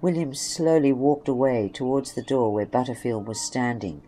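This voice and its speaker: Mid-pitched male narrator, faint noise behind. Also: moderate pace, warm tone, poor audio. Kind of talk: scripted story narration.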